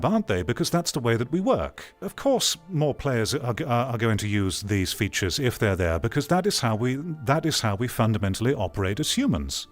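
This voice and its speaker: Low-pitched man giving blunt commentary. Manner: blunt, dismissive, argumentative. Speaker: video commentator. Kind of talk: opinionated commentary, reading an article aloud and reacting.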